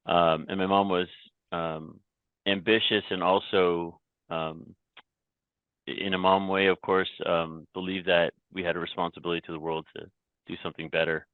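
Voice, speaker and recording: A very low-pitched man speaks as a podcast guest, recounting his own story in a reflective, halting way with frequent restarts. His voice comes through a thin, phone-like remote line with a dry, close sound. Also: little pitch movement, no echo. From Canada. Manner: reflective, many restarts and repeated words. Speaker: podcast guest, company founder. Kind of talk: casual interview talk.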